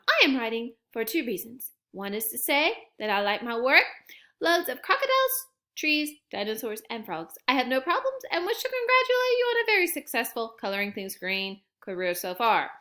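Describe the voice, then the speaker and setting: A woman's voice: fairly high pitch, bright, forceful tone. A woman voicing a cheerful, dutiful crayon in a children's storybook, upbeat and politely formal. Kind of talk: children's storybook read-aloud.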